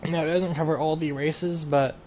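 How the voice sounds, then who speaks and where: A man's voice: fairly high pitch, fairly even intonation, normal speed. A man streaming a casual game mod showcase, rambling and repeating himself as he trails off. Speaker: gaming streamer. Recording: dull lo-fi home recording, faint noise.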